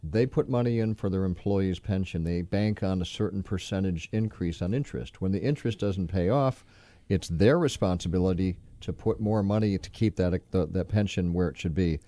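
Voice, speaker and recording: A man's voice: deep voice, normal speed, dark tone, no echo. A man hosting a retirement radio show, asking his guests conversational, leading questions.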